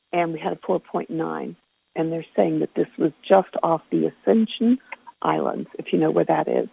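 A husky conference call presenter talks through a report from notes in an informal, conversational way, correcting along the way. The voice has a creaky edge and comes over a narrow, tinny telephone line with some echo. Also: mid-range voice.

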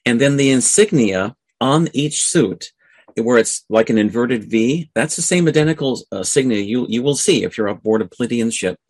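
A man hosting a radio talk show in an informal monologue, halting and often repeating or stumbling over his phrases. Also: medium pitch, lively intonation, darkish tone, husky, no room sound, low-quality recording.

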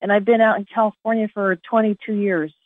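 A woman's voice: mid-range voice, fairly even intonation, a little fast. A middle-aged woman with a slight New Jersey accent, chatting casually and hesitantly as a caller on a phone line. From New Jersey, USA. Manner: hesitant, tentative. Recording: dull, lo-fi phone-call sound.

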